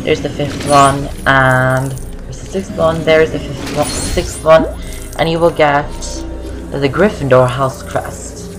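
A young woman talks through a video game. She is chatty and rambling, often repeating herself, with music playing clearly behind her. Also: low voice, fairly even intonation, slow pace. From Norway. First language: Norwegian. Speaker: gaming video host. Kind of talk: casual game walkthrough commentary.

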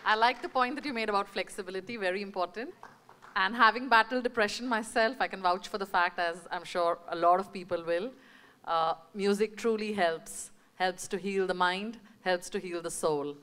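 A woman's voice: medium pitch, normal speed, a bit bright, smooth. A woman moderator responding to a speaker in an affirming way.

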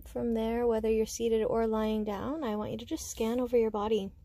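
Mellow, gentle woman guiding meditation. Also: fairly high pitch, steady tone. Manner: gentle, unhurried, pausing between short phrases. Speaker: meditation guide. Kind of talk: guided meditation, slow soothing instruction.

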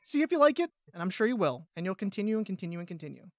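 A man's voice: high-pitched, big pitch swings, rapid-fire, dry recording, clean studio sound. A man chatting casually and playfully as a podcast guest.

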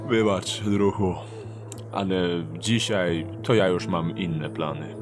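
An actor playing a sly, sceptical thief in a fantasy audio drama, scoffing and drawling. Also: male voice, deep voice, takes it slowly, over music. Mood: relaxed, resigned. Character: sly, sceptical rogue thief, adult man.

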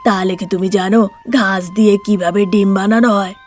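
A woman voicing a gentle, appreciative older father-in-law in a cartoon. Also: mid-range voice, steady tone, normal speed, soft background music, lightly acted. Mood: questioning. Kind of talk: Bengali cartoon voice acting.

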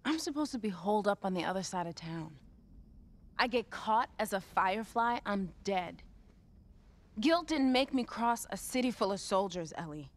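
A woman playing a defiant, earnest American teenage girl in a naturalistic video game cutscene, quick to defend herself. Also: medium pitch, animated, lightly acted. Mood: earnest, defensive.

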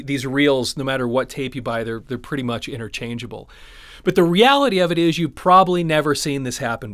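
Higher-voiced man explaining casually, dry sound. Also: a bit bright, no room sound. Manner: conversational, patient step-by-step explaining. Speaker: presenter, audio transfer studio owner. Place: small dim wood-panelled indoor studio.

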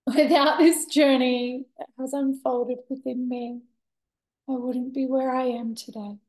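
A woman in her thirties giving a candid, reflective personal monologue to camera, expressive, with deliberate pauses and dramatic emphasis. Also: high-pitched, poor audio. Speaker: vlogger sharing a personal story. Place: bright indoor room with large windows. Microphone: laptop webcam at desk distance.

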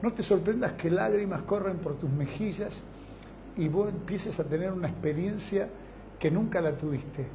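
Middle-aged argentine pastor inviting ppl to church, fervent listy delivery, kinda high but mellow voice, faint hiss behind. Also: male voice, moderate pace. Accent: Argentine Spanish. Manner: fervent, emphatic preaching in long lists. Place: small indoor studio set, seated.

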